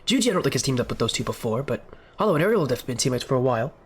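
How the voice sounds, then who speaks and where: Fast-talking sick man, sniffling. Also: mid-range voice, sing-song, breathy edge. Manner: chatty filler talk while waiting. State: sick with a stuffy nose, sniffling. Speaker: esports league caster. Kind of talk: solo stream commentary.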